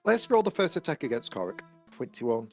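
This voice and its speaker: A woman's voice: extremely low pitch, very animated, fairly quick, darkish tone, slightly rough. A woman talking casually as a player in a tabletop roleplaying game. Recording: music under the voice. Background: instrumental music.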